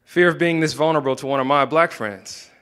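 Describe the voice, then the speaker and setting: A young man reading his own spoken word poetry into a handheld microphone, soft-spoken and hesitant between poems. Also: a little high, fairly quick, clean tone, long reverb tail. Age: young adult. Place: indoor room, standing by a wall.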